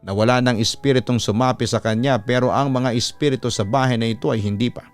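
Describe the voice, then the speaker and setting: Clear-voiced man narrating horror stories. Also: mid-range voice, steady tone, quick pace, faint music behind. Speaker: radio announcer and storyteller. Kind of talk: dramatic first-person horror story narration.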